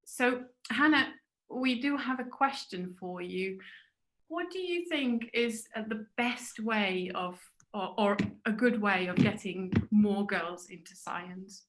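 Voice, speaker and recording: A woman hosting an online talk event, introducing speakers and asking questions in a warm, chatty way. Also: medium pitch, takes it slowly, rough recording. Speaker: event host.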